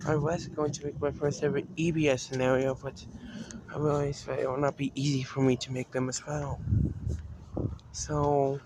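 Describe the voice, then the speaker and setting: A man giving an unscripted vlog-style talk as a video creator, haltingly, often repeating and restarting his phrases. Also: mid-range voice, level tone, background noise. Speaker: video creator announcing a decision to fans.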